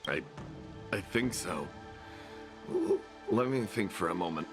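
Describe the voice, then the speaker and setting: A man playing a dazed, bewildered soldier in a video game, stammering and halting as he recounts events. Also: sits low, lively intonation, over music. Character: shaken, bewildered young male soldier recovering from possession. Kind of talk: dramatic video game dialogue.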